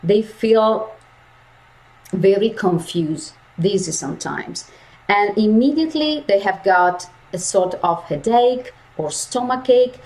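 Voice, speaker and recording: Slow, emphatic woman voice coach. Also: medium pitch, animated, a little mellow, poor audio. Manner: slow, emphatic, repeats key phrases. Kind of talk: lecture to university students.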